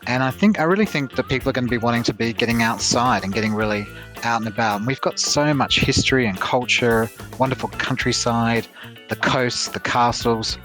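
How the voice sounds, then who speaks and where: Tourism guest, man, mixed English-Aussie-American accent, relaxed and upbeat. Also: mid-range voice, fairly quick, faint music behind. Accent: mixed English, Australian and American. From England. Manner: relaxed, upbeat, some hesitation.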